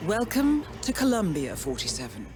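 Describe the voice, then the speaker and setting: A woman's voice: lowish voice, wildly swooping pitch, moderate pace, noisy background. A woman playing a cool, poised intelligence handler, giving a formal, precise mission briefing in a game. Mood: poised, businesslike. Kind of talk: scripted mission briefing narration. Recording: loud music behind.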